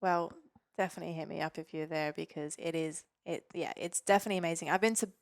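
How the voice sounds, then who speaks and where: Relaxed young woman, fairly low voice. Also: quick pace, gravelly, no room sound, clean studio sound. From Norway. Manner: relaxed, lots of ums, laughs easily. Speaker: guest, physiotherapist and weightlifter. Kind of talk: casual interview chat.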